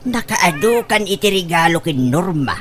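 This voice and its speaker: A woman's voice: on the low side, animated, moderate pace, natural voice. A woman playing a concerned, practical parent advising a grown son in a comedy radio drama, with an Ilocano accent. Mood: cautioning.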